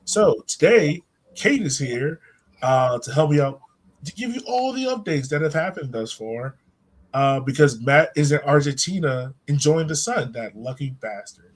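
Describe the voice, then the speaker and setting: A man's voice: a little high, sing-song, moderate pace. Informal, slangy man hosting a podcast. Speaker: podcast host. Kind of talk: casual sports fan podcast chat.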